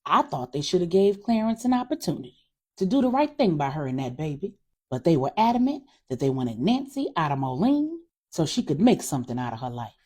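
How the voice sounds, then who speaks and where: A woman's voice: deep voice, sing-song, fairly quick, dark tone, breathy edge, heavily acted. A woman voicing a frank older aunt in an audio story, folksy and emphatic as she reminisces. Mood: frank, disapproving. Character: older Southern family aunt, frank, gossipy, sympathetic.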